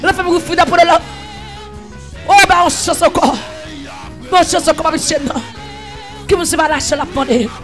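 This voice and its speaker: Very high-voiced man praying fervently. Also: fairly even intonation, moderate pace, pauses often, soft background music. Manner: impassioned, repetitive pleading, half-sung, bursts of shouting. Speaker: prayer leader. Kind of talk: fervent chanted prayer.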